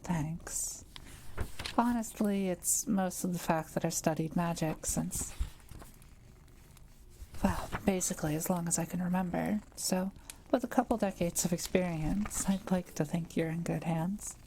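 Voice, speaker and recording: Kind witch played by a woman for audio roleplay: lowish, soft-edged tone, patient and reassuring. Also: measured pace, a little hiss or hum, low-quality recording. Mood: modest, reassuring. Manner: gentle, careful, explanatory. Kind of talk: intimate one-to-one audio roleplay, conversational.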